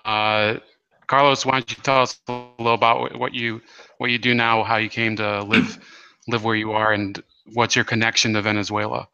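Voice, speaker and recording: Dry, bright-voiced male podcast host. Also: on the low side, flat delivery, clear, resonant tone, dry recording, dull audio, soft background music. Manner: halting, many fillers and restarts. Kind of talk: casual interview.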